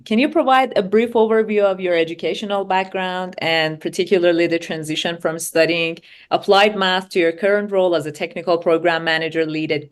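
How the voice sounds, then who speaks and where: Woman, seminar host, formal, reads prepared questions, lowish voice. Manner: formal, scripted-sounding questions. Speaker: interviewer, host. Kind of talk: moderating an online seminar interview.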